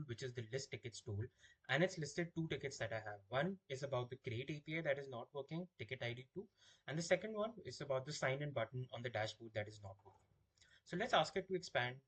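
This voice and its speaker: A man with a husky, slightly rough voice walks through a software product. He explains each step in an orderly way, like a presenter giving a tutorial, at a middling pitch. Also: lively intonation, fast talker, poor audio. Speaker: product demonstrator. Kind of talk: software demo walkthrough.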